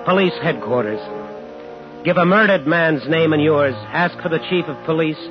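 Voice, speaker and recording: An actor playing a determined, angry war veteran in a 1950s radio thriller, terse and insistent. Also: male voice, a little high, normal speed, lightly acted. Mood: brooding narration. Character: determined, angry young war veteran hunting his brother-in-law's killer. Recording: dull 1950s radio broadcast with music.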